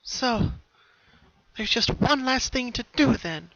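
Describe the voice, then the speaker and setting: Storybook pony heroine, male reader: high, gentle, plucky and resolute, on a muffled noisy recording. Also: animated, takes it slowly, creaky, no room sound, exaggerated voice. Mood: determined. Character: brave, cheerful young female pony, gentle and resolute. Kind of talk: dramatic fan-fiction reading. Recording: dull lo-fi recording, background noise.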